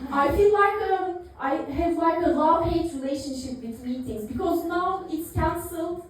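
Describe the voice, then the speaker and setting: A woman's voice: extremely high pitch, normal speed. A woman in her thirties doing a dry, self-mocking stand-up routine, full of fillers and small laughs. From Turkey. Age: 30s. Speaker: stand-up comedian. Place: live comedy venue, audience laughing. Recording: echoey room picked up from far off.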